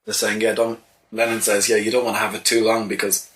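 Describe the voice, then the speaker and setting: An Irish songwriter answering interview questions in a thoughtful, halting way, with many restarts. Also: male voice, on the low side, flat delivery, a bit bright. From Ireland. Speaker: songwriter guest.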